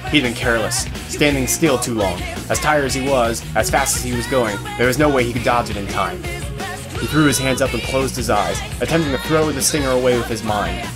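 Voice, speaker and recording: A man's voice: medium pitch, fairly quick. A man narrating a scripted fantasy story as an audio drama narrator. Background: guitar music.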